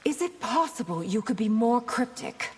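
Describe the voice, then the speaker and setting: Regal young warrior princess, proud and pointed, slightly high voice, long hall reverb. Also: female voice, sing-song, moderate pace, a little hiss or hum. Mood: aggrieved. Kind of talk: video game voice acting.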